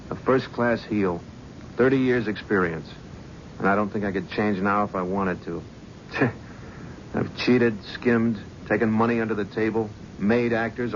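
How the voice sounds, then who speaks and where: Man doing haunted middle-aged talent agent, low voice, stammering, dull old radio broadcast. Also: a little hiss or hum, natural voice. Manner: panicked, stammering. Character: guilt-ridden middle-aged male talent scout, haunted. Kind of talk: 1970s radio drama acting.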